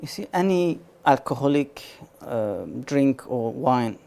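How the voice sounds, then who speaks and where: A man's voice: mid-range voice, lively intonation, slow, vocal fry, dark tone. A man answering religious questions on a live call-in show, explaining patiently in short phrases with some restarts.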